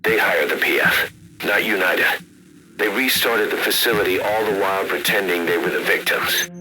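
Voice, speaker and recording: Low-voiced gruff military officer. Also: male voice, moderate pace, sharp tone, slight background noise, rough recording. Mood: grave, intent. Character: gruff male military intelligence officer briefing his commander. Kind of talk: scripted video game radio briefing.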